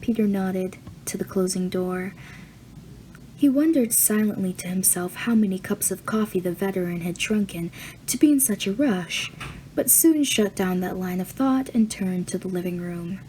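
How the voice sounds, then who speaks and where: Woman audiobook reader, mellow and gentle, even storytelling. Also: medium pitch, normal speed, a little hiss or hum, poor audio. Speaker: audiobook narrator. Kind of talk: reading fan fiction aloud.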